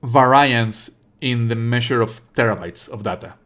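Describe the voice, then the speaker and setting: A man livestreaming casual game commentary, opinionated and scornful, swearing and explaining in a halting way with repeated phrases. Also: medium pitch, fairly bright tone.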